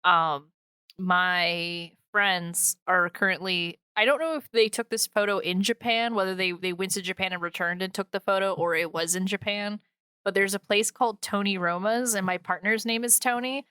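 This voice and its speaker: A woman's voice: mid-range voice, steady tone, moderate pace, sharp tone, smooth, dry recording, clean studio sound. A woman hosting a casual podcast, chatting in a playful, conversational way. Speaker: podcast host.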